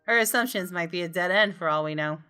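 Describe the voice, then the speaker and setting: A woman, a gaming streamer reading visual novel narration aloud in an expressive, dramatic way. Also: on the low side, fast, slight background noise. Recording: music behind the voice. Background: game music.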